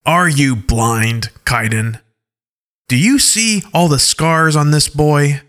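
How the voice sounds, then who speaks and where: A man's voice: on the high side, slow pace, a bit bright, pitched above the performer's own voice. A man voicing a furious sarcastic wolf leader. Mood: indignant. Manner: mocking, indignant outbursts. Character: angry sarcastic wolf-pack leader, scornful.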